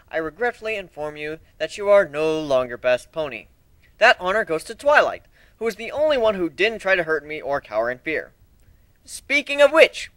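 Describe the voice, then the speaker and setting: A man's voice: high-pitched, sharp tone, smooth, dry recording, exaggerated voice. A man playing a sarcastic, cocky griffin pirate in an amateur story reading, with a flippant manner. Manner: flippant, sardonic.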